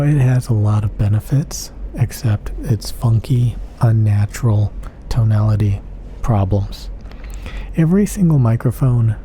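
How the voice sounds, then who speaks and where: A man with a low voice and a very dark, mellow tone, heard close up on a condenser mic in a quiet home room with faint room ambience behind him. He talks in emphatic strings of adjectives and now and then drops to a whisper. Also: a little hiss or hum. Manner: emphatic, repetitive lists of adjectives, dips into whispers. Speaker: audio gear reviewer. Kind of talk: casual spoken monologue. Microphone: close-up studio condenser mic. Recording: close-mic studio recording.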